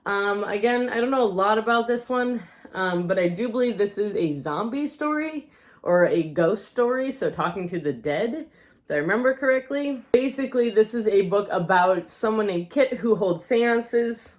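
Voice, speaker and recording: A woman's voice: medium pitch, animated, moderate pace. A woman vlogging a casual book haul, chatty and rambling, losing her voice and often unsure of the details.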